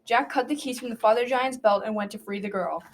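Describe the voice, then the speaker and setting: A woman's voice: fairly high pitch, fairly quick, lightly acted. A school-age girl playing a folksy narrator, reading a scripted folktale in an amateur radio drama. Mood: folksy, storytelling. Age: child about 12 to 13. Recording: distant mic, faint noise.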